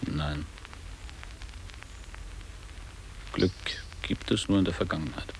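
Deep-voiced, bitter middle-aged former pupil. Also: male voice, steady tone, normal speed, vocal fry, a little mellow. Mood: sober, dismissive. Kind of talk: literary radio drama acting. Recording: reverberant echoing hall, noticeable hiss.

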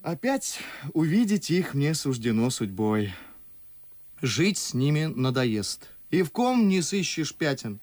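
An actor playing a witty, talkative, mocking young gentleman in an ardent, ironic verse radio drama. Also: on the high side, sing-song, slow, dry recording. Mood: ironic, lively. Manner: ardent, ironic, theatrical declamation. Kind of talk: Russian classical verse radio drama acting.

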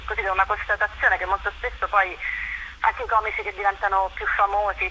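A woman giving a casual interview, often halting as she searches for words. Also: medium pitch, pushed and bright, slight background noise, poor audio. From Rome, Italy. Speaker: interview guest, writer and performer.

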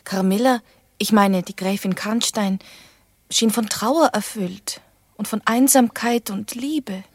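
An actress playing a sheltered, earnest and wistful young noblewoman in a radio drama, with a breathy voice. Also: mid-range voice, slow, dry recording. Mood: wistful, subdued. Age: young adult.

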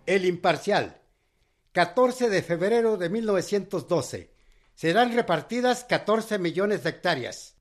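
Slow, high-voiced old-time newsreader. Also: male voice, lively intonation, loud music behind the voice. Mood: formal, proclaiming. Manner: formal, deliberate, proclaiming. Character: male newspaper voice reading out old official news. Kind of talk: scripted newspaper reading in radio drama.

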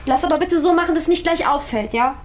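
Actress as bossy young female thief for radio drama, higher register, cheeky, noisy rummaging around her. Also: fast talker, natural voice. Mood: brisk, bossy. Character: brash, bossy young female burglar on the run. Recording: clattering dishes and rummaging noise.

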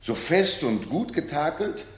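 A plain, loyal seaman in a radio drama. His fairly high voice reports slowly and with emphasis. The sound is roomy and theatrical. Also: sing-song. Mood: relieved, eager. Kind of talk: German classic radio play, theatrical report.

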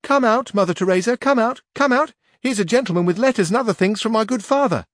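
A man voicing an excited peasant girl of about fourteen in a storybook reading, eager and breathless. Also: fairly quick, no echo, clean studio sound. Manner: eager and breathless, high-pitched.

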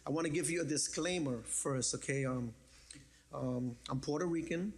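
Lively joking pastor. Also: male voice, a little high, normal speed. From the Bronx, New York. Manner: lively, joking, conversational preaching. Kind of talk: sermon.

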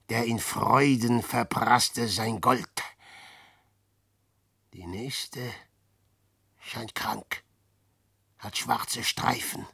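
A weary old adventurer in a verse radio play, speaking very slowly with a creaky edge. He broods and mutters theatrically, mocking himself. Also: medium pitch, pushed and bright, no echo, studio quality, full character voice. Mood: scornful. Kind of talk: verse monologue in 1960s German radio drama.

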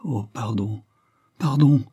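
A man's voice: lowish voice, fairly even intonation, moderate pace, mellow, breathy edge, no room sound, dull audio. A man voicing a frightened young woman in an audiobook, emotional, pleading and full of anguish.

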